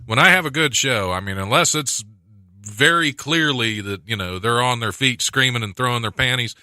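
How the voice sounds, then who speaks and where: A man's voice: medium pitch, normal speed, pushed and bright, dry recording. A man hosting a casual studio chat, joking, laughing often and restarting his sentences. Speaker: podcast host.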